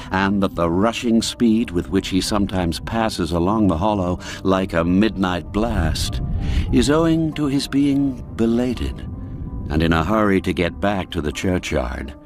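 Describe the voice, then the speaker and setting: A man with a low voice reads a classic tale as a narrator. His delivery is formal and measured, taken at a slow pace, with music playing softly behind him. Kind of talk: scripted literary narration of a classic folk tale.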